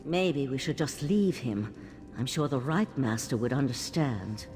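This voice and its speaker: A woman's voice: rumbling low, expressive, measured pace, darkish tone, soft background music. An actress playing a dry, sardonic female adventurer in a fantasy video game. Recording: long reverb, noisy ambience behind.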